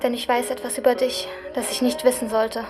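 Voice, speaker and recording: A woman's voice: on the high side, flat delivery, fairly quick, music in the background, lightly acted. An actress playing a bold, scheming young woman in a dramatic, provocative radio drama performance. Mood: cold, taunting. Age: young adult. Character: bold, bitter nineteen-year-old woman, scheming blackmailer. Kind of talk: German radio drama acting.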